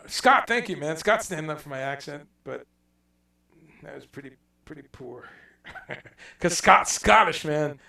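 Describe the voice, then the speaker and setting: A man's voice: medium pitch, vocal fry, sharp tone. A man hosting a casual livestream, rambling, reading out viewer comments and swearing now and then. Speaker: livestream host. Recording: echoey room, voice a bit far from mic.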